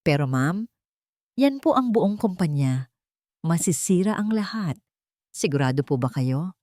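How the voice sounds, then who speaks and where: A woman's voice: fairly low pitch, very animated, a little slow, mellow, no room sound, studio quality. A Filipino woman voicing a shocked, deferential assistant in an audiobook drama, hesitant and respectful. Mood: shocked, worried.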